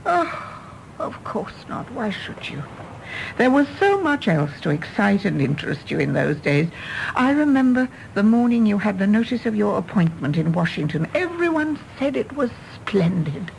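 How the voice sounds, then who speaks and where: Wistful wry english lady in a radio play, bit of creak, faint noise behind. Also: mid-range voice, big pitch swings, rough recording. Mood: wistful, regretful. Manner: measured, genteel, wry. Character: wistful, wry middle-aged Englishwoman, once lovelorn mother. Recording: faint background noise and ambience.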